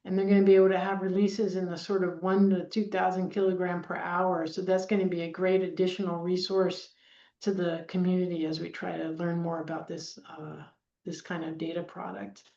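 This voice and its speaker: A woman's voice: lowish voice, flat delivery, a little fast, vocal fry, dark tone. A middle-aged woman, a research scientist, giving an informal conference presentation in a conversational, explanatory way. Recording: dull, roomy, echoing sound.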